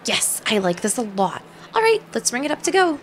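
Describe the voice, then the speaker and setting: A bubbly, bossy young woman, played by a woman with a fairly high, lively voice. She pushes her friend along cheerfully, with faint ambience behind her. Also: slight background noise. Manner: bossy, quick, upbeat.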